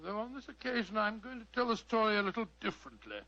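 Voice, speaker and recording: A genial old English gentleman telling a tale in a 1940s radio drama. His voice sits high and rings bright and forceful. The sound is a dull vintage broadcast. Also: fast talker. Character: Doctor Watson, genial older English gentleman storyteller.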